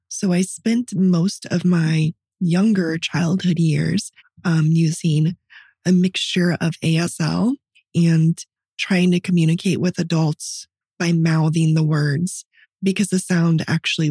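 Husky, raspy-voiced woman podcaster. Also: fairly low pitch, dark tone, dry recording. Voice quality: raspy voice from partly paralyzed vocal cords. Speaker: podcast co-host. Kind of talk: personal storytelling in casual podcast chat.

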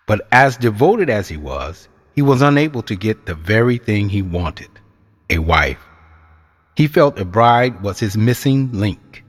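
A man giving measured, rhetorical narration for a scripted audio drama, as a reflective storyteller. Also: on the low side, big pitch swings, slightly rough, full character voice.

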